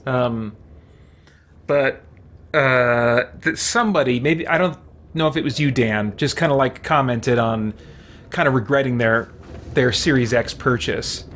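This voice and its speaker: A man's voice: mid-range voice, a little slow, fairly bright tone. A man chatting casually on a game livestream, thinking aloud as he plays. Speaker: game streamer, host. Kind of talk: casual livestream chat while playing a video game. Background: video game sounds and character voices.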